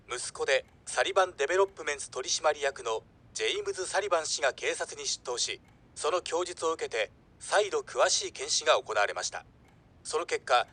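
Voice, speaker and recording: An actor playing a composed news anchor in an audio drama, reading a report in a formal, measured way. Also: male voice, fairly high pitch, sharp tone, breathy edge, exaggerated voice.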